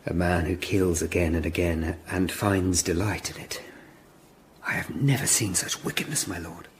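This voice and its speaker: An actor playing a grave, courteous Tudor lawyer investigator in a radio drama, earnest and measured. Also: male voice, deep voice, slight background noise, lightly acted. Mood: grave, resolute. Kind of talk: radio drama acting, some narration.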